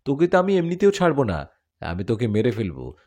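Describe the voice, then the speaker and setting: A man's voice: medium pitch, huge pitch swings, brisk, darkish tone. A man voicing a devoted young male jinn warrior who pleads and argues in a spoken story. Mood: earnest. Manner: earnest, pleading.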